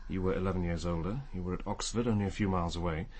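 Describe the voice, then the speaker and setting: An actor playing a courteous, perceptive senior police detective in a radio drama, speaking in a formal, restrained, probing way. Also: male voice, low-pitched, steady tone, very fast, a little mellow, slight background noise, lightly acted. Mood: grave, restrained.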